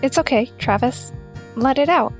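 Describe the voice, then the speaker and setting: A woman playing a playful, teasing young woman in an audio drama, speaking gently over guitar music. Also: sits high, moderate pace, close to an everyday voice. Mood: gentle teasing.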